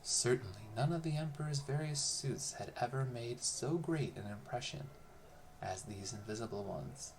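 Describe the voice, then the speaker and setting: Bedtime story narrator, mid-pitched, soothing and liltingly expressive, some background noise. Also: moderate pace, poor audio. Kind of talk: bedtime fairy-tale narration.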